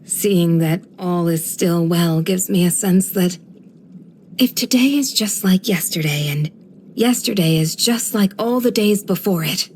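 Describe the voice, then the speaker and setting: A woman voicing a serene, kindly guardian of a desert village in a video game, with a gentle, measured, formal storytelling delivery. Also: fairly low pitch, a little hiss or hum. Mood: calm, musing. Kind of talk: scripted video game character voice lines.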